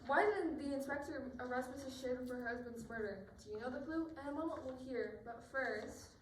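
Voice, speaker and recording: A woman's voice: high-pitched, brisk, lightly acted. A woman playing an announcer and police colleague in an amateur radio mystery. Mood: announcing. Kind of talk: amateur radio drama acting. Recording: echoey, distant mic, clear background noise.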